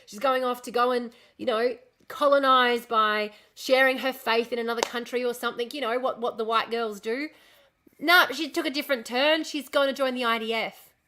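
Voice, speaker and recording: A young woman chatting on a casual live stream, ranting and swearing freely while her voice is going hoarse. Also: a little high, steady tone, normal speed, sharp tone. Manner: ranting, lots of swearing and restarts. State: losing her voice, coughing. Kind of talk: casual live-stream chat reading comments.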